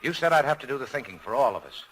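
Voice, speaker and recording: A man's voice: mid-range voice, animated, very fast, close to an everyday voice, poor audio. A male actor playing a cynical but self-sacrificing American nightclub owner in a 1940s radio drama, with a clipped, tough, terse delivery. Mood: resolute, restrained.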